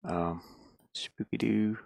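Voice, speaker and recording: A man's voice: lowish voice, steady tone, slow pace, creaky, a little mellow, dry recording, studio quality. A man casually thinking aloud as he works on a game, muttering to himself in short fragments. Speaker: hobby game developer sharing a work session. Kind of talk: casual think-aloud screen commentary while working.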